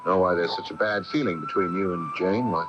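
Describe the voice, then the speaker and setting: An actor playing an earnest, concerned sculptor in a radio mystery drama, reasoning hesitantly with a slight stammer. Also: male voice, low-pitched, a little fast, lightly acted. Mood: coaxing, hesitant. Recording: muffled, noisy old AM radio broadcast.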